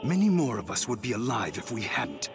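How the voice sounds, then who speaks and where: An actor playing a doubting, agitated follower of a secret band in a fantasy game, speaking urgently and challengingly. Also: male voice, lowish voice, huge pitch swings, fairly quick, over music. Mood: bitter. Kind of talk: dramatic fantasy game voice acting.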